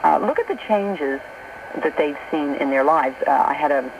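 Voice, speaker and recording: Middle-aged American woman radio host, creaky voice. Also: deep voice, expressive, normal speed, highs cut off. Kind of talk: conversational late-night radio talk. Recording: noisy shortwave radio broadcast on cassette.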